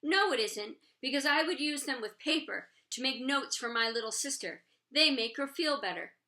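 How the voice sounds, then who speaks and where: A woman voicing an excitable, quirky schoolboy in a storybook, earnest and exuberant. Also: high voice, moderate pace, voice pushed up, heavily acted. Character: excitable, quirky schoolboy who loves tape and glue.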